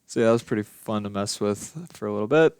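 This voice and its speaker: A young adult man giving a casual software demonstration as a student, informal, offhand and a little tentative. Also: lowish voice, fast, smooth, no echo. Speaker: student presenting a final project.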